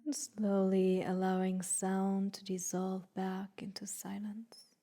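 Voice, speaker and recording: Woman, warm relaxed tone, soft and breathy, mid-pitched, slow guided meditation, gentle instructions, long pauses.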